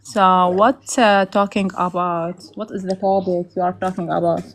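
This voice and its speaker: A woman's voice: lowish voice, little pitch movement, normal speed. A woman chatting casually and politely as a guest caller. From Iraq. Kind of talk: casual chat. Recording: faint noise on a live call.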